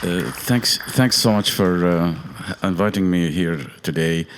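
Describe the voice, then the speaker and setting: Very deep-voiced Lebanese-born man, halting. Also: noisy background. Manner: halting, many fillers and restarts. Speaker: guest violinist. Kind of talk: short informal thank-you remarks. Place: congregation meeting hall.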